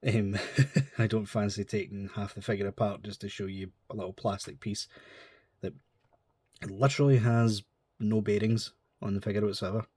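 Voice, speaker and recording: Stuffy-nosed man, low voice. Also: steady tone, normal speed, a little mellow, breathy edge, dry recording, studio quality. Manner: chatty, rambling, informal. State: stuffy nose from a cold. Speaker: model kit reviewer. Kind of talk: casual chat.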